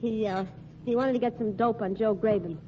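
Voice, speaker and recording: An actress playing a tough, guarded nightclub singer, with an American accent and curt, slangy, defiant speech in old-time radio drama style. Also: female voice, on the high side, steady tone, a little fast, lightly acted. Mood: guarded, evasive. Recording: dull, noisy old radio recording.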